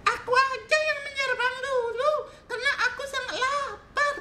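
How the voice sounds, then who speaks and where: Little storybook goat, squeaky falsetto, childlike and eager, very high, echoey room. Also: male voice, steady tone, moderate pace. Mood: brave, eager. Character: brave hungry little young goat. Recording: roomy echo, faint rumbling noise.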